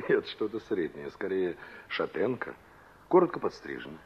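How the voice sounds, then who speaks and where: A young engineer looking back on his past in an old radio play. His voice is low and a little rough, with very animated intonation, and the recording is dull and dated. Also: normal speed, full character voice. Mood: wistful, searching. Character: young male engineer, former carpenter, reminiscing and inquisitive. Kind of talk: 1960s Soviet radio drama acting.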